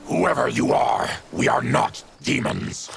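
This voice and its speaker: A man playing a grave, afflicted otherworldly warrior in a video game, with a gravelly voice and measured delivery. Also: medium pitch, lively intonation, faint music behind, exaggerated voice. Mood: defensive, explaining. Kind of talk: dramatic game cutscene acting.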